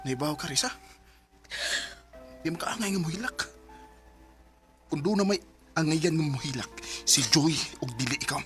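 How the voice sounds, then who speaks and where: A cold, curt young man, with a fairly high, bright and forceful voice and a Bisaya accent. He speaks dismissively in a Filipino radio drama, over music. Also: expressive, moderate pace, pauses often. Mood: scornful. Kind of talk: emotional radio drama acting.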